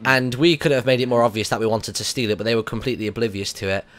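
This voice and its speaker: A man's voice: medium pitch, very fast. A British man hosting a radio show in a casual, chatty interview style.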